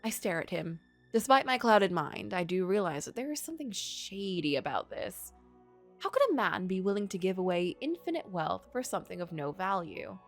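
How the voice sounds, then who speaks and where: A woman's voice: medium pitch, big pitch swings, normal speed, faint music behind. A woman voicing a poor, doubting male writer from a story game in formal, literary words. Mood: suspicious. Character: poor, doubting, melancholy male writer narrating his misfortune.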